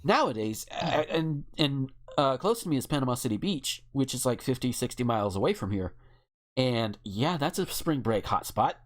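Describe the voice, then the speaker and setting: A man's voice: medium pitch, expressive, normal speed. A man co-hosting a podcast, talking casually in a rambling, wry and opinionated way. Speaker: podcast co-host. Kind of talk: casual chat.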